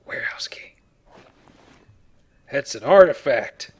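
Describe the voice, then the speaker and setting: A man's voice: medium pitch, expressive, takes it slowly, slightly rough, in a whisper. A man giving casual, joking commentary on a video game as he plays and explains it. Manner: joking, colloquial. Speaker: video game let's player.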